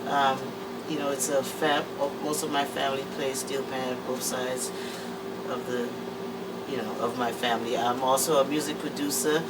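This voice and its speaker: A woman's voice: rumbling low, steady tone, moderate pace. A woman, speaking as an interview guest in easygoing, hesitant casual talk with many fillers, in a music production room. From Trinidad and Tobago. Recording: distant mic with air-conditioning hum.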